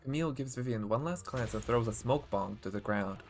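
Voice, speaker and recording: A man's voice: medium pitch, brisk. A man livestreaming a game, reacting casually and reading on-screen text aloud. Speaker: game streamer. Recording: faint game music behind.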